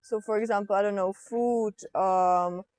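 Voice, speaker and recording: A 21-year-old woman from the Czech Republic gives a casual interview answer, pausing often with fillers. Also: medium pitch, steady tone, darkish tone, dry recording. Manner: hesitant, many fillers. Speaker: exchange participant.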